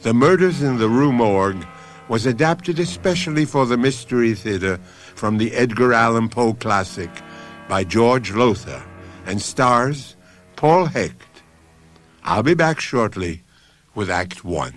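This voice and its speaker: Unhurried, animated male mystery host. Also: mid-range voice, faint music behind. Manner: measured, theatrical emphasis with pauses. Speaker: radio drama host and narrator. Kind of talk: scripted dramatic narration.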